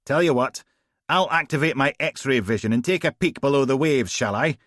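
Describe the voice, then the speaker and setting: A gruff, bossy woman detective, sarcastic and teasing, voiced by a man reading aloud, pitched well above his own low register. Her lines come loud and punchy in a dry, close sound. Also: normal speed, no echo, lightly acted.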